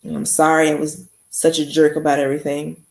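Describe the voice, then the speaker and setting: A woman's voice: low voice, completely flat delivery, gravelly, darkish tone, pitched below the performer's own voice. A woman reading a remorseful young man. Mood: earnest. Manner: earnest, halting confession. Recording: roomy echo.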